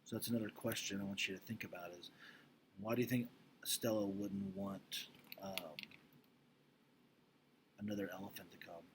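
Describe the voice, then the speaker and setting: A man's voice: lowish voice, fairly even intonation, normal speed, long pauses, background noise. A man speaking as a teacher, casually recapping and reading a storybook aloud in an informal, explanatory way, checking in with listeners.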